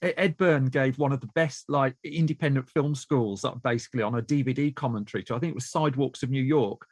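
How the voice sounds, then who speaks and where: A man's voice: mid-range voice, fast, dry recording. A man hosting a podcast interview, eager and given to stammering restarts as he asks questions. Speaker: podcast host. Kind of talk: casual interview chat.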